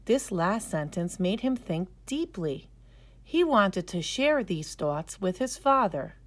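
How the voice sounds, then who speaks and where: A woman storyteller narrating a scripted children's story in a careful, expressive way. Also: mid-range voice, takes it slowly.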